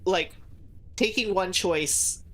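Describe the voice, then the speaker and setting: Lower-pitched woman thinking aloud. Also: takes it slowly, fairly bright tone. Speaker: gaming co-host. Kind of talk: casual chat reviewing a game.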